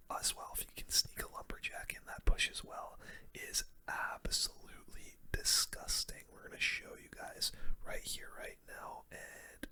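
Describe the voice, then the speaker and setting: A gaming host softly whispering relaxed ASMR gameplay commentary into a close microphone. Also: very high-pitched.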